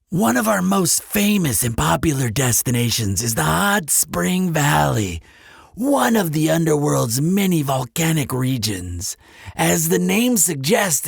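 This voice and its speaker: Man acting as male demon concierge, courteous and eager, lively swooping pitch, creaky husky edge, polished sales patter, audio roleplay. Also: no room sound, studio quality, full character voice. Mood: obliging, enthusiastic. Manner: polished, salesmanlike, obliging customer-service patter. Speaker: hotel concierge character. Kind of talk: scripted audio roleplay addressed to the listener.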